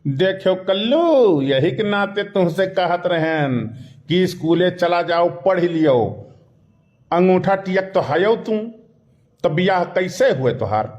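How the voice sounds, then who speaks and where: A man's voice: high-pitched, lively intonation, takes it slowly, darkish tone, muffled recording. A man voicing a preachy, freeloading village uncle in a cartoon comedy, bantering with an Awadhi accent. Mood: lecturing. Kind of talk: comic cartoon dialogue.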